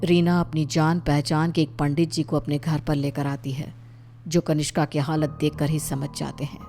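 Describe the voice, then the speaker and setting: A woman's voice: sits low, level tone, normal speed, dark tone. A woman narrating a scripted story, with music behind her voice.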